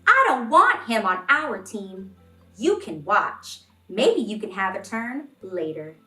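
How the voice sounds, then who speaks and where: A woman hosting a children's read-along, reading expressively and addressing young listeners deliberately as friends. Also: mid-range voice, sing-song, bright, forceful tone. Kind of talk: expressive picture-book read-aloud and talk to young children. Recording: roomy, distant microphone, music behind.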